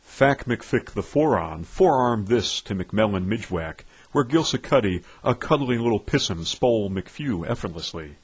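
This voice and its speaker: Husky man declaiming verse, slow and pompous. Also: lowish voice, expressive. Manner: pompous, declamatory, with dramatic emphasis. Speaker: poetry reader. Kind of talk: theatrical recitation of nonsense verse.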